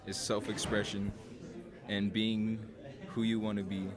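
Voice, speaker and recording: A low-voiced man speaks with plenty of crowd chatter around him. He answers casually and haltingly, adjusting his words. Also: level tone.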